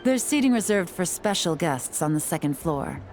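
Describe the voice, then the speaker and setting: A woman playing a composed female spy in a video game, measured and sure of herself. Her voice sits low for a woman. Soft game music plays behind her. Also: lightly acted. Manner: calm, measured, self-assured. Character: cool, composed female spy and tea house owner. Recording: game audio with faint music.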